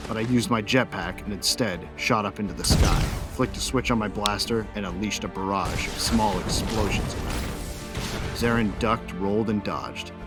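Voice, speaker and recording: A man's voice: lowish voice, close to an everyday voice. A man playing a hot-tempered warrior who narrates his own battles in an audio drama, intense and sardonic.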